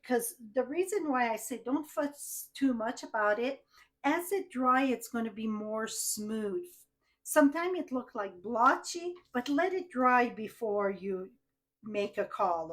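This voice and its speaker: A woman with a French Canadian accent, whose first language is French, chatting her way through a live card-making tutorial and asking viewers questions as she works. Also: high-pitched. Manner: chatty, thinks aloud, asks viewers directly.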